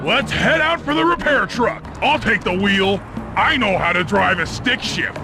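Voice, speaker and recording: A big childlike hippo strongman from a cartoon game. The voice is very high for a man, bombastic and eager, set against loud music. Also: lively intonation, a little slow.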